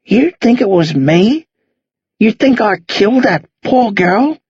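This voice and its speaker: Casting: man to act a confused old Australian fellow for an audiobook, rough-voiced, halting, full of pleading questions. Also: high voice, sing-song, a little mellow, no echo, lo-fi sound, pitched above the performer's own voice, heavily acted. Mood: distressed, pleading. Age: older.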